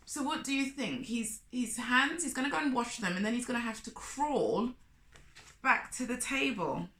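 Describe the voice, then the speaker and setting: Woman, children's storyteller, slow expressive reading, bright voice, chatty asides, echoey room. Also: on the high side, a little hiss or hum. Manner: asks the listeners questions, comments on characters.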